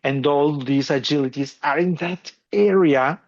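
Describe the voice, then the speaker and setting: A man's voice: on the high side, unhurried, lo-fi sound. A man hosting a podcast, explaining opera singing technique, chuckling often and restarting his phrases. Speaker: podcast host.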